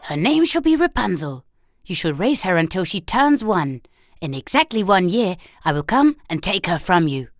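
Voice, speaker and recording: A woman's voice: fairly low pitch, big pitch swings, moderate pace. An actress playing a cruel, scheming witch in a children's fairy tale cartoon, commanding and falsely sweet.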